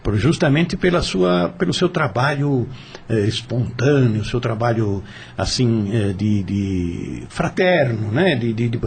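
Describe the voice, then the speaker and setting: A man with a Brazilian accent explaining ideas in a discussion, haltingly, repeating words as he searches for the next. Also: mid-range voice, animated, gravelly, a little mellow, slight background noise.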